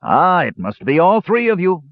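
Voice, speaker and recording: A man voicing a boastful, jovial, hard-drinking bearded dwarf in a fantasy audiobook, with loud roaring speech. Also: high voice, very animated, moderate pace, dry recording, muffled recording, pitched above the performer's own voice. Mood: goading.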